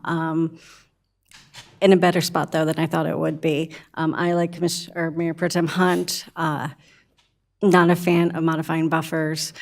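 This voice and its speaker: An American woman giving measured, somewhat hesitant remarks as a commissioner at a town meeting. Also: on the low side, vocal fry, darkish tone.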